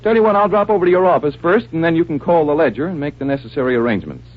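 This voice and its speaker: An actor playing a composed, inquisitive doctor-detective with a measured, authoritative manner in an old-time radio drama. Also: male voice, a little high, animated. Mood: brisk, composed. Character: composed, inquisitive male doctor and criminal sleuth. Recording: dull, hissy vintage radio recording.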